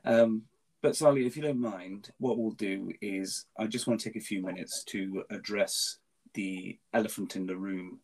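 A man's voice: on the low side, moderate pace, a little mellow. A man whose first language is Farsi, hosting a casual podcast interview, talking with frequent ums and restarts. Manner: hesitant, many ums and restarts. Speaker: podcast host.

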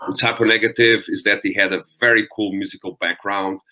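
Deep-voiced Portuguese metal singer for an interview, low and bright, hesitant pauses. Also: male voice, fairly even intonation, low-quality recording. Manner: thoughtful, frequent ums and restarts. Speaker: metal vocalist guest. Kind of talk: interview conversation.

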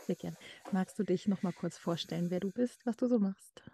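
A woman hosting a podcast, speaking in a friendly, softly spoken way. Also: lowish voice, normal speed, gravelly, slightly rough. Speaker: podcast host.

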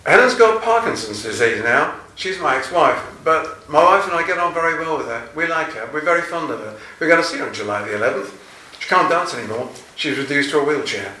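A man's voice: fairly high pitch, expressive, a little fast, bright, forceful tone, far from the mic, slight background noise. An older man, a novelist, answering interview questions in a chatty, anecdotal and self-deprecating way. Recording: roomy, from off-mic.

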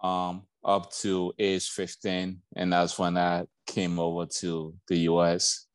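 A man's voice: deep voice, monotone, slow pace, smooth, no echo. A man in his forties from Liberia, reflecting on his life as a guest in a casual interview, with lots of "like" and "you know".

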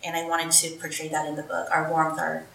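Low, flat-voiced woman, distant and echoey. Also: a little fast, gravelly, a little hiss or hum. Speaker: cookbook author. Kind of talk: casual conversation.

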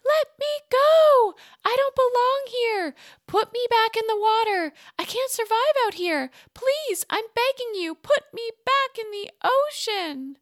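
A woman voicing a captive mermaid mother who pleads in high, frantic cries, from a storybook. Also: measured pace, gravelly, darkish tone, dry recording, clean studio sound. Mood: desperate pleading.

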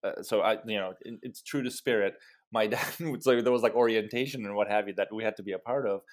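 A man in his thirties from Turkey, telling personal stories as an interview guest and acting out remembered dialogue in an animated manner. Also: on the low side, fast talker. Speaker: interview guest, startup co-founder. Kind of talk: conversational personal storytelling.

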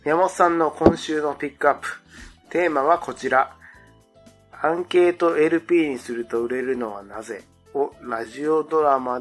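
A man's voice: a little high, fairly bright tone. A man of about forty from Japan, co-hosting a radio show in a conversational way, with frequent short interjections as he listens. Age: around 40. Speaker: radio show co-host, copywriter. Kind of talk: casual chat with scripted show intros. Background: background music.

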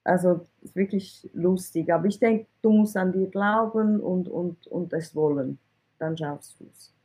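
A woman's voice: on the low side, measured pace, dark tone, slightly rough, poor audio. A woman, from Iran, answering interview questions in a candid way and often closing a thought with a questioning tag. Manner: candid, repeats words when searching, ends points with "oder?". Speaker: IT recruitment consultant.

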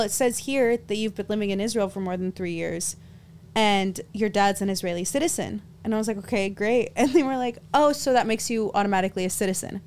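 A young woman from Los Angeles, a podcast guest telling stories in casual chat, full of "like" fillers. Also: medium pitch. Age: young adult. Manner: storytelling, frequent "like" fillers, laughs often.

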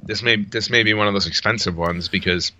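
Man, very bright forceful voice, dry dull-band recording, emphatic casual podcast banter, repetitive phrasing. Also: lowish voice, a little fast. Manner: emphatic, opinionated, repeats himself. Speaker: podcast co-host.

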